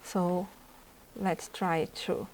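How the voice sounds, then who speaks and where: Woman, Brazilian Portuguese accent, native Portuguese speaker, art instructor, very slow pace, thinking aloud while drawing. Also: lowish voice, level tone, a little mellow, slight background noise, low-quality recording. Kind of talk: drawing demonstration.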